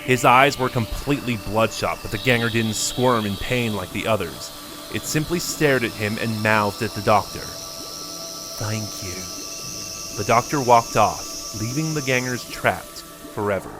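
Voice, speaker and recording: A man narrating a scripted story in a measured, steady reading. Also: on the low side, over music.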